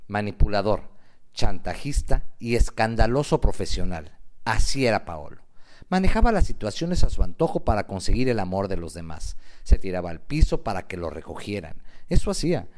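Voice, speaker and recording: A man narrating a scripted story dramatically, in an emphatic, deliberate way. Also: on the low side, very animated, a little mellow.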